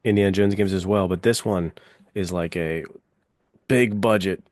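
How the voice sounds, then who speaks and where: A young man co-hosting a podcast in casual chat, upbeat and excited, with casual fillers. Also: low voice, moderate pace, no echo, studio quality. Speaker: podcast co-host.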